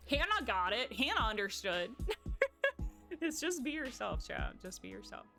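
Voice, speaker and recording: A woman's voice: sits high, very animated, a little slow, sharp tone, soft background music. A young woman with a Midwestern accent talking casually to her stream chat in a rambling way, full of "like" and restarts. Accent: Midwestern American. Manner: chatty and rambling, heavy on "like" and restarts.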